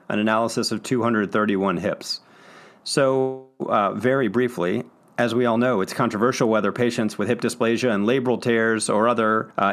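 A man hosting a medical podcast, introducing a panel and reading out a study summary. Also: lowish voice. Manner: introduces guests, reads abstract summaries. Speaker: podcast host.

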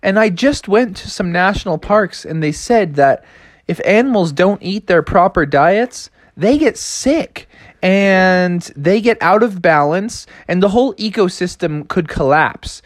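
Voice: mid-range voice, expressive, a little slow, no echo, clean studio sound, pitched above the performer's own voice, lightly acted. A storyteller voicing a plucky, bossy young male frog with an emphatic surfer-dude manner. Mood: matter-of-fact. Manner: emphatic, cocky surfer slang.

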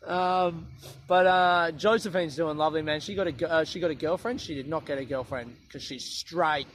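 A man's voice: on the high side, normal speed, fairly bright tone, a little hiss or hum. Sweary man rambling outdoors. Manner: sweary, self-mocking, laughs often. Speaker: comedian podcaster. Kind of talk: casual rambling monologue. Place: outdoors, walking through a park.

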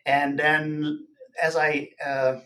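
A man from the Netherlands, an academic guest explaining things casually and haltingly in an interview. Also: a little high, little pitch movement, bright, forceful tone. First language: Dutch. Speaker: podcast guest, nutrition professor.

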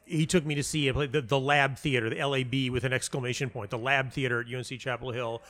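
A man's voice: mid-range voice, steady tone, quick pace, a bit bright. An American man speaking as an interview guest, telling his story casually and reflectively, often interrupting himself and repeating words. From United States (North Carolina). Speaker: interview guest, playwright and audio dramatist. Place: hotel lobby.

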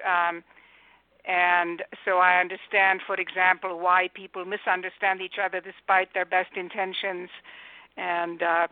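A woman's voice: fairly low pitch, dry recording. Romanian-accented woman over a phone line. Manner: articulate, many fillers and restarts. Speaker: guest, executive coach. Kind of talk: radio interview answers. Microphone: telephone.

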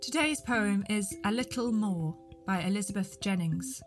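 A woman hosts a podcast, reading prepared announcements in a mid-pitched voice. Music plays behind her as she delivers the scripted narration. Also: moderate pace.

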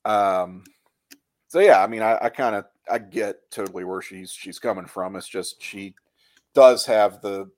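A man's voice: fairly low pitch, a little slow, darkish tone, no echo. A man co-hosting a podcast, casually analyzing a TV show in a reflective, colloquial way. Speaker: podcast co-host.

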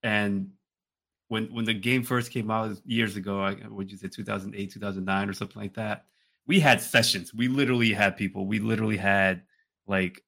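A man co-hosting a podcast, telling stories casually in an animated way and repeating himself for emphasis. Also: fairly low pitch, a little fast, gravelly. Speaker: podcast co-host. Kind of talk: casual chat, storytelling.

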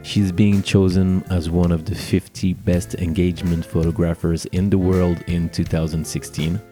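A man's voice: low-pitched, level tone, moderate pace, warm tone, soft background music. A man from France hosting a podcast, reading a scripted introduction and then interviewing a guest. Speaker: podcast host, wedding officiant.

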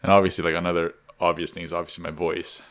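A man's voice: low voice, flat delivery, racing. A young man in his twenties, born in Korea and raised in North America from childhood, chatting easily as a podcast co-host and often trailing off. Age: young adult. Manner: easygoing, trails off with soft "I guess". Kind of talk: casual chat.

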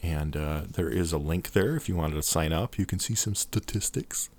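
A man's voice: extremely low pitch, moderate pace, a little mellow. A man co-hosting a casual podcast, reading out the show's links and plugs with hesitant fillers. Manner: hesitant, filler-heavy delivery. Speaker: podcast co-host.

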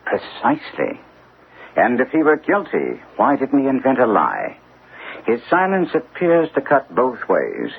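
An actor playing a composed, analytical gentleman detective in a classic radio drama, speaking in a deliberate, questioning way. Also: mid-range voice, huge pitch swings, gravelly, full character voice. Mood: probing, composed. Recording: tinny, noisy old radio recording.

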